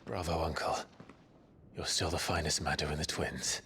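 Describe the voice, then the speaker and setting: An actor playing an earnest, noble young warrior with a formal manner in a video game drama. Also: male voice, very deep voice, steady tone, normal speed, bright, forceful tone, a little hiss or hum, full character voice. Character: earnest, noble warrior nephew, long thought dead.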